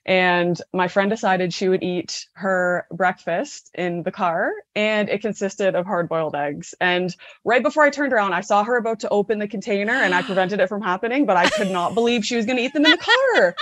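A woman's voice: medium pitch, animated, brisk, few pauses, a bit bright, no room sound. A woman calling in to a show and chatting casually. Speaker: caller. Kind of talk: casual chat.

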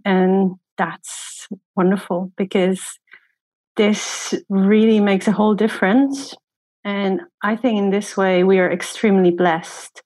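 Guest, female, from Poland, mid-pitched, thoughtful and unhurried. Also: fairly even intonation, a little mellow, rough recording. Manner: thoughtful, unhurried, with small grammar slips. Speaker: guest, glacier guide. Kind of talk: casual personal account.

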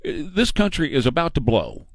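A male talk radio co-host in a dry studio, mocking and emphatic in his banter. His voice sits at a middling pitch and carries no room sound at all. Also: huge pitch swings, fairly quick, creaky, no room sound.

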